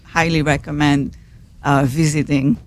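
A middle-aged woman from Belgium with a French accent and French as her first language, a guest on a call-in radio show, talking in a friendly, halting way. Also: deep voice, flat delivery. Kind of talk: casual radio interview.

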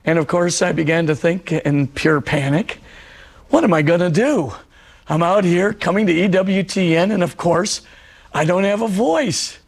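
A middle-aged man, a priest, giving a televised religious talk in an earnest storytelling way; his voice is husky and hoarse because he is losing it. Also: high voice, moderate pace. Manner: earnest storytelling preacher, repeats phrases for emphasis.

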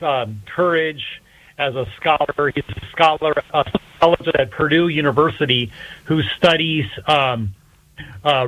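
A male professor answers an interviewer's questions in a thoughtful way, filling his pauses with "um" and "uh". The sound is slightly dull, like a remote connection. His pitch is in a middle range. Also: a bit bright. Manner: thoughtful, with frequent um and uh. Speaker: guest professor.

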